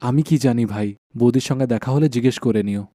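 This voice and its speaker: A man playing an anxious, suspicious village husband in an animated folk tale, speaking in a worried, exclaiming way. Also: mid-range voice, quick pace, dry recording, clean studio sound, natural voice. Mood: tense.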